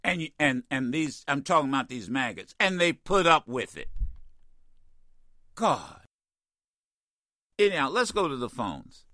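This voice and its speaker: Radio host guy ranting, slow drawn-out delivery, dry close studio sound, voice going a bit worn. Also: mid-range voice, no echo. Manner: animated, exasperated, many stammered restarts. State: losing his voice. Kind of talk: opinionated talk radio rant.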